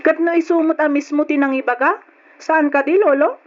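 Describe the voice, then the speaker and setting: An actress playing a pert, scheming younger sister with an Ilocano accent, coaxing and cheeky in old radio drama style. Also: female voice, sits high, fairly even intonation, brisk, lightly acted. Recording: dull lo-fi radio drama recording.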